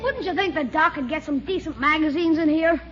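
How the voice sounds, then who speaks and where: A woman's voice: sits high, brisk, over loud music, lightly acted. A woman playing a brash, wisecracking young American boy in 1940s radio comedy style. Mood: cheeky, teasing. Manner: pert, teasing, wisecracking. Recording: muffled, noisy 1940s radio broadcast.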